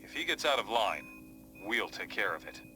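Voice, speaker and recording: A man playing a confident superhero leader in a game cutscene, giving measured, authoritative briefings. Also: on the low side, big pitch swings, sharp tone, faint music behind, full character voice. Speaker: mission briefer.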